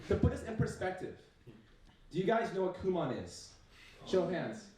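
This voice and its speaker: A man's voice: high-pitched, sing-song, moderate pace, warm tone, very echoey, far from the mic, low-quality recording. A young man whose first language is Chinese, doing a casual stand-up set full of fillers, swearing and self-mockery. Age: young adult. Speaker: stand-up comedian. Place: university comedy stage.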